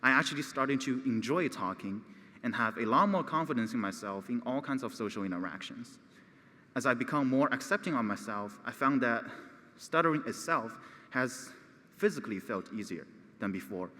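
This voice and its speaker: Teen boy speaker, stutters, earnest delivery, bright tone, hall reverb. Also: medium pitch, animated, normal speed. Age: teens. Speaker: gala speaker. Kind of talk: prepared speech.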